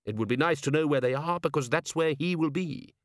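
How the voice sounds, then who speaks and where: A man voicing an urbane, commanding male city ruler in a measured, formal, deadpan manner for an audiobook. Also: on the high side, pitched above the performer's own voice, lightly acted. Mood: calm, calculating.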